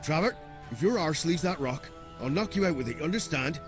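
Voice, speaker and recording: A man's voice: fairly high pitch, lively intonation, brisk, lightly acted. A man playing a pragmatic bandit leader in a video game, firm, authoritative and weary of squabbling. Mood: exasperated. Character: gruffly commanding, pragmatic male bandit leader. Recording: music behind.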